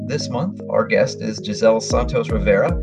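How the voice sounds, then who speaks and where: A man hosting an interview podcast, asking questions in a friendly, halting way with frequent fillers. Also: fairly low pitch, gravelly, loud music behind the voice. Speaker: podcast host.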